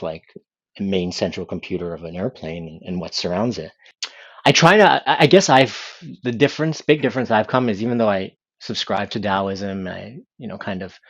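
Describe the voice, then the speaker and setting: Deep-voiced Canadian man, dry recording. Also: dry recording, highs cut off. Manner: thoughtful, explaining at length. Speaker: podcast guest. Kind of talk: casual conversation.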